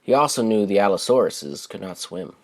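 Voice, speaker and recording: A man reading a children's story aloud as its author, with simple, deliberate phrasing and expressive stresses. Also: lowish voice.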